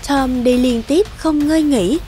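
A woman narrates a fairy tale in a scripted storybook style. Her voice is fairly high, and music plays clearly behind her. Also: a little slow, dark tone.

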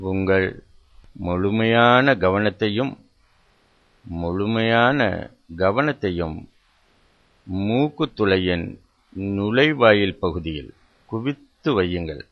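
A man gives guided meditation instructions in a measured, instructional way, repeating phrases with pauses between them. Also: lowish voice, expressive. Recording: dull, muffled lo-fi sound.

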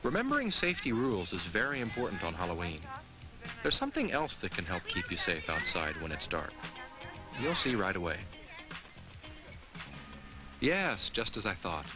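An actor playing a friendly, earnest talking jack-o'-lantern, gently instructing children in the scripted style of a 1980s educational film. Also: male voice, low voice, huge pitch swings, normal speed, pauses often, over music. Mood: kindly, instructive.

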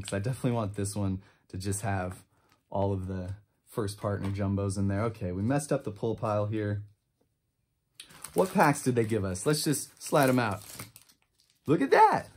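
A man in his twenties giving casual, enthusiastic pack-opening commentary, chatty and quick to drop short exclamations. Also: fairly low pitch, a little mellow. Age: 20s. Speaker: trading card channel host. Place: indoor room with shelves of collectibles.

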